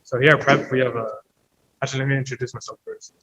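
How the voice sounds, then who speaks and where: Flat-voiced young man, hesitant. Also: medium pitch, quick pace, slightly rough, poor audio. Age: late teens. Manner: hesitant, with restarts. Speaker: student team captain. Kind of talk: casual talk to visitors.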